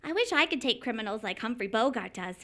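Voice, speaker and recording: A woman's voice: a little high, lively intonation, fast talker, pushed and bright. A woman playing a scatterbrained, eager wife who fancies herself a detective, in live old-time radio comedy style. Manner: eager, wide-eyed, dithery.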